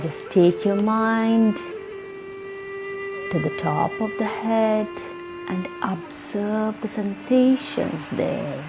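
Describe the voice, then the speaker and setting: Female meditation guide: extremely unhurried, soothing delivery in short spaced phrases, faint music underneath. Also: medium pitch, vocal fry, low-quality recording. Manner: soothing, gentle instruction with long pauses. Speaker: yoga meditation guide and channel host. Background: soft instrumental music.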